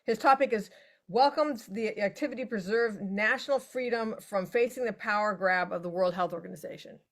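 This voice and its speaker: A woman's voice: medium pitch, normal speed. A woman hosting an event, thanking one speaker and reading the introduction of the next. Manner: reads introductions, occasional fillers. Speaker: event host.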